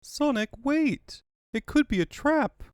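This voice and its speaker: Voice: sits high, expressive, slow, darkish tone, dry recording, studio quality. A performer voicing an earnest, anxious young fox sidekick in a cartoon comic dub. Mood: alarmed. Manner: anxious, pleading.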